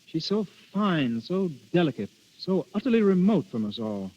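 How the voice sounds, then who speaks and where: A man's voice: high-pitched, very animated, moderate pace. An actor playing an earnest young nobleman in love, in 1930s radio drama style. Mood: tender, puzzled. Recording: dull 1930s radio recording.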